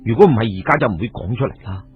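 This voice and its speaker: A man's voice: fairly low pitch, expressive, very fast, breathy edge, faint music behind, pitched below the performer's own voice. A man voicing a troubled but resolute hero in a radio story, subdued, hesitant and serious. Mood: confiding. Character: troubled, resolute male hero confiding in brothers. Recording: muffled phone-like radio recording.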